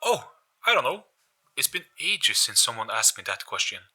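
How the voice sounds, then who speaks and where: An old British gentleman's voice speaks from a magical gramophone, acted by a man. He is genteel, eagerly polite and joyful, pitched well up in a slightly husky voice over storybook music. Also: sing-song, normal speed, exaggerated voice. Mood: musing. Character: welcoming older British man's voice inside a magical gramophone.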